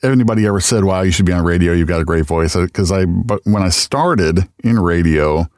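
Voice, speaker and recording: A middle-aged man, a radio voice talent, chatting casually in an interview, relaxed and self-deprecating, with many fillers. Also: low-pitched, a little fast, no echo, studio quality. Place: podcast studio.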